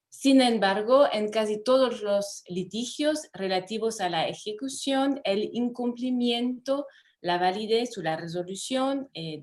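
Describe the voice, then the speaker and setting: A woman from France with a French accent, a law professor giving an online lecture in a careful way, pausing often with "eh". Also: medium pitch, darkish tone. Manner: careful, with frequent "eh" pauses. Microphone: computer mic over video call.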